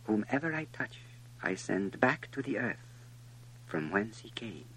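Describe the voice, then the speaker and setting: A man's voice: lowish voice, very animated, a little slow, fairly bright tone, slight background noise, rough recording. A man voicing a cryptic, self-assured and pitying snake in a storybook reading, speaking solemnly in riddles.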